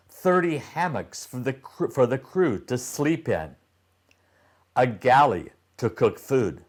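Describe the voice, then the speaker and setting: Guy reading a childrens book out loud, super slow n careful, pitch moves around a lot. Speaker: read-aloud storyteller. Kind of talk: children's storybook read-aloud.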